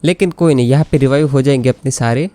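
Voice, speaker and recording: A man's voice: mid-range voice, very fast, warm tone, slight background noise. A young Indian man, a native Hindi speaker, doing casual gameplay commentary and slipping between Hindi and English. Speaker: gaming video host. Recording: faint game music behind.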